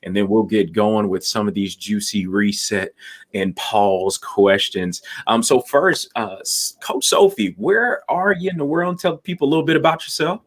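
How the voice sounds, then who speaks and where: A man's voice: fairly low pitch, normal speed. Male host, enthusiastic and chatty, casual interview delivery. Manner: enthusiastic and chatty, restarts sentences. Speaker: podcast host. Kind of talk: upbeat interview hosting.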